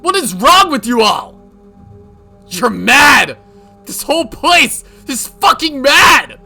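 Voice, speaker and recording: An unhinged male writer, acted out by a man, theatrical and volatile. His voice is sharp and forceful and swings wildly in pitch, with music playing behind it. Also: sing-song, normal speed. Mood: frightened, angry. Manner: shouting, wailing, taunting, theatrical. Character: unhinged male freelance writer, shifting from panic to cold menace.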